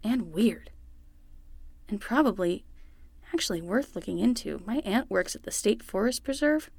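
A woman's voice: mid-range voice, expressive, normal speed, gravelly, darkish tone, breathy edge, no room sound. A woman playing a sensible, skeptical young summer nanny in a naturalistic, dryly exasperated way for a fiction podcast. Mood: practical. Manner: dry, exasperated, practical.

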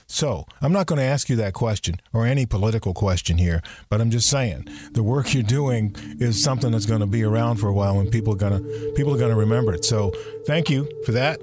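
A man hosting an interview podcast, speaking in a measured, deliberate way. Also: lowish voice, music in the background. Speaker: podcast host.

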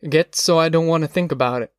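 A man's voice: fairly high pitch, fast talker, smooth, dry recording, clean studio sound, natural voice. A man voicing a despairing migrant laborer and worried father, bitter and folksy, in an audiobook.

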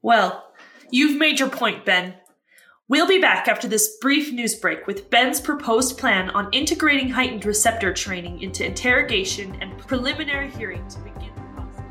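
Challenging broadcast host, articulate and measured, bright forceful tone, echoey room, audio drama. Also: female voice, fairly high pitch, lively intonation, soft background music. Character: measured, challenging female talk show host playing devil's advocate. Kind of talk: fiction podcast drama, broadcast interview.

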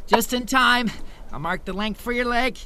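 An actor playing a grumbling but dutiful, plain-spoken ship's carpenter and smith in an audio drama. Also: male voice, very high voice, normal speed, bright, forceful tone, smooth, full character voice. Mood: dutiful, wry.

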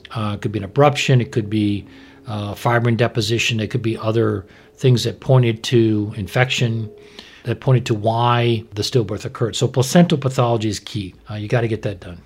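A middle-aged American man, a doctor, explaining medical matters informally in a frank, measured way. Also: mid-range voice, a little mellow, husky. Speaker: maternal fetal medicine doctor, podcast expert.

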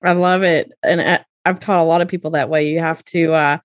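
A woman's voice: sits low, steady tone, brisk, no echo. A woman hosting a podcast, asking questions and commenting in a conversational interview style. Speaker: podcast host.

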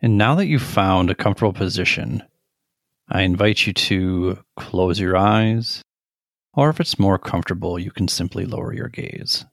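A middle-aged man leading a guided meditation in a gentle, deliberate manner with long pauses. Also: sits low, dry recording, clean studio sound.

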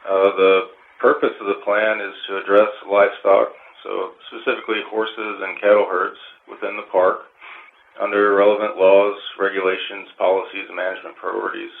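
Low, gravelly man over a phone line. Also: level tone. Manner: measured, formal, hesitant phrasing. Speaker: park resource management official. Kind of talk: interview answers, explanatory. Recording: muffled narrow phone-line sound.

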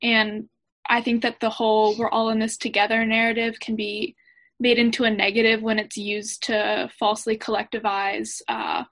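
Higher-voiced college-age woman, thoughtful. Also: little pitch movement, normal speed, a bit bright. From Pennsylvania, USA. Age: around 20. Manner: thoughtful, with frequent ums and uhs. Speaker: panel guest, college student. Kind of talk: discussion on a panel.

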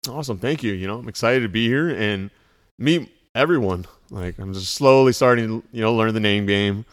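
Male guest coach, lowish voice, relaxed friendly conversational tone. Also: brisk. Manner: friendly, easygoing, says "like" and "you know" often. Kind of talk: casual interview chat.

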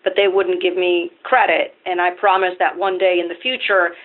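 A woman from Venezuela, a CEO appearing as a podcast guest, speaks in a fairly low voice. She explains her career in a steady, measured way, and her voice comes through a thin, phone-like line. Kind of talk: podcast interview. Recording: tinny phone-line sound.

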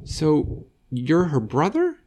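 A man's voice: huge pitch swings, takes it slowly, darkish tone, exaggerated voice. A man voicing a worried young girl in a storybook, pleading in a raised voice. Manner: pleading, higher-pitched.

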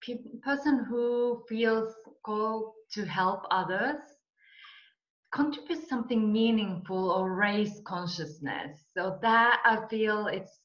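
Slow-talking Finnish woman in a roomy recording. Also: medium pitch, lo-fi sound. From Finland. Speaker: interview guest, coach and mentor. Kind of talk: personal storytelling in an interview.

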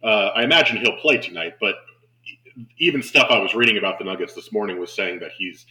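A man's voice: lowish voice, brisk, sharp tone, rough recording. A man hosting a sports show, chatting casually and enthusiastically as he analyses basketball. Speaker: sports podcast host and basketball broadcaster.

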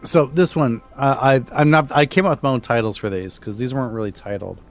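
Middle aged guy telling spooky stories on podcast, casual, halting, stammery, medium pitch. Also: soft background music. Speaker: podcast host and storyteller.

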